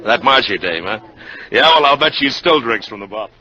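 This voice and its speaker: Blunt American investigator, vintage radio. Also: male voice, fairly low pitch, expressive, pushed and bright. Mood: gruff, triumphant. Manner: brisk, emphatic, tough-talking. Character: shrewd, blunt, combative insurance claims investigator. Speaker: actor. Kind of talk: 1950s radio drama acting. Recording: dull 1950s radio recording, music behind.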